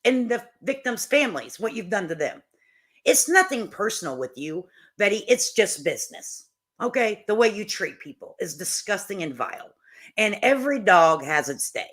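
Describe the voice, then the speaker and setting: A woman's voice: mid-range voice, very animated, moderate pace, a bit bright. A woman doing casual reaction commentary as a channel host, with a blunt, mocking, folksy and salty way of talking.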